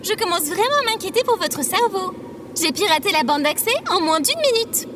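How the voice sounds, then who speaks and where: A small female robot companion with an extremely high voice. She is prim, formal and easily exasperated, swinging animatedly in pitch as audio drama dialogue. Clear noise sits behind her. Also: lively intonation, a little fast. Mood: concerned.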